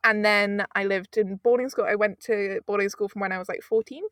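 A woman's voice: mid-range voice, fairly even intonation, a little fast, a bit bright, smooth, no room sound, studio quality. A woman in her twenties from the UK, chatting casually as an interview guest, rambling with frequent laughs. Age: 20s. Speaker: audio producer, guest.

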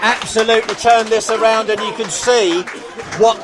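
Excitable high-voiced football commentator. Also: male voice, normal speed. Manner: excitable, shouts at goals, self-mocking. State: losing his voice. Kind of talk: live play-by-play commentary. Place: outdoors at a football ground. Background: crowd noise.

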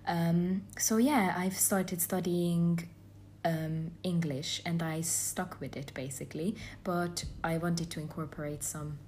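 A woman's voice: lowish voice, fairly even intonation, takes it slowly, hardly any pauses, a little mellow, a little hiss or hum. A woman in her twenties from Hungary with a Hungarian accent, co-hosting a podcast in casual, giggly chat full of "like". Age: 20s. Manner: giggly, chatty, lots of "like". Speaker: podcast co-host.

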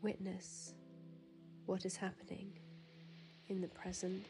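A woman guiding a sleep meditation in a soothing, hushed manner, with soft breathy phrase endings and drawn-out pauses. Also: medium pitch, completely flat delivery. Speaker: meditation guide. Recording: piano music and waves behind. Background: relaxing piano music and ocean waves.

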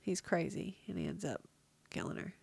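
A woman's voice: on the low side, big pitch swings, normal speed, vocal fry, a little mellow, husky, no echo. A woman co-hosting a true crime podcast in casual chat, congested and laughing often. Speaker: podcast co-host.

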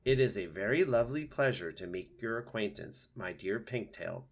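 A man's voice: lowish voice, expressive, gravelly. Man as a stilted, polite female robot. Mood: stiffly friendly. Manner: stilted, measured, overly formal. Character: polite, gentle female robot, formal and naive. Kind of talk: storybook read-aloud voice.

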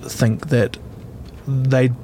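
A man's voice: mid-range voice, fairly even intonation, extremely slow, mellow, slight background noise. A man who hosts a podcast, thinking aloud in a hesitant, casual way. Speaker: podcast host.

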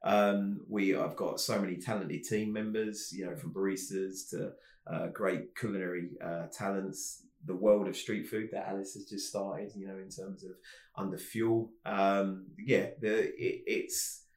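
A man's voice: deep voice, little pitch movement, measured pace, a little mellow. A man, a food director and guest, chatting casually on a podcast, rambling with lots of fillers. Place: office. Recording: roomy echo.

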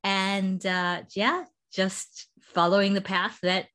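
A woman's voice: mid-range voice, level tone, slow, a bit bright. A middle-aged American woman, a startup founder, answering interview questions thoughtfully, with frequent ums and restarts. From United States.

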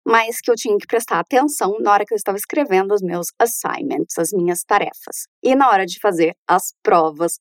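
A woman's voice: mid-range voice, no echo. A young woman from Brazil, a journalism student, talking casually and with enthusiasm about her studies abroad, laughing now and then. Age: young adult. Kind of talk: casual personal account.

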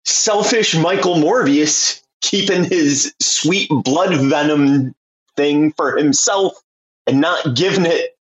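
A man co-hosting a casual comedy podcast, making dry, deliberate comic asides about a movie. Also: fairly high pitch. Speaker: podcast co-host. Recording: roomy, dull, noisy mic.

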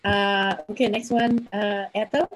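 A woman's voice: mid-range voice, little pitch movement, slight background noise. A woman hosting an online meetup, calling on attendees one by one for introductions over a video call. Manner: unhurried, calls names one by one. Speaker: meeting host.

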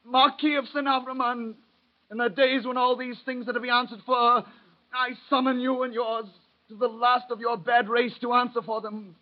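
High-voiced, defiant dying peasant youth. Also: male voice, little pitch movement, moderate pace. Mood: defiant, anguished. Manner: declamatory, impassioned. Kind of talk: 1930s radio drama acting. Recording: dull vintage radio recording.

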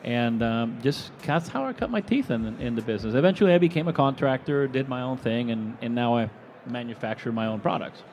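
A man's voice: mid-range voice, a little fast, hardly any pauses, a little hiss or hum. A man in his forties from Venezuela, a guest telling his story in a casual, rambling way on a live expo stage. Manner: rambling, animated, acts out quoted voices. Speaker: guest, product manufacturer.

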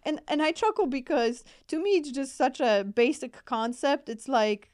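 A woman's voice: high voice, lively intonation, moderate pace, darkish tone, dry recording, studio quality. A woman with a Polish accent, co-hosting a podcast in a casual, earnest and hesitant way. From Poland. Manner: earnest, hesitant, repeats words. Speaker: podcast co-host, mental health nurse practitioner.

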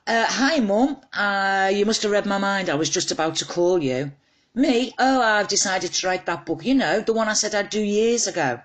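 A woman's voice: medium pitch, moderate pace, voice pushed up, lightly acted. A woman voicing a scatterbrained, easily distracted woman writer in a short story, chattering to herself in a flustered way. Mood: bright, evasive. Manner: chatty, flustered self-talk. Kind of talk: short story character dialogue.

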